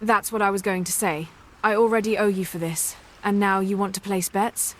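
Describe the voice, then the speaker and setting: A woman's voice: mid-range voice, fairly even intonation, moderate pace, fairly bright tone, slight background noise, natural voice. A woman voicing a determined English adventurer searching for her late father's artifact, speaking in a guarded, matter-of-fact way with an English accent. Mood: wry. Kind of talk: amateur animated voice acting.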